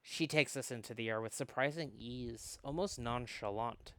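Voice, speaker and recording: A bright, forceful voice with a smooth, clear tone, recorded close and dry. The speaker co-hosts a read-along, slipping between animated, rambling chat and dramatic narration of a visual novel. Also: medium pitch, normal speed, dry recording. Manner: animated, rambling, self-interrupting. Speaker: co-host reading a visual novel aloud. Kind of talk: casual chat mixed with dramatic read-aloud.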